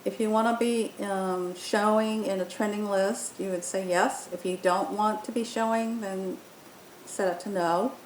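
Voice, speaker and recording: A woman's voice: steady tone, unhurried. A woman presenting an app tutorial, explaining it step by step in an informal walkthrough style. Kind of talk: informal software walkthrough narration. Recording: roomy echo, faint rumbling background noise.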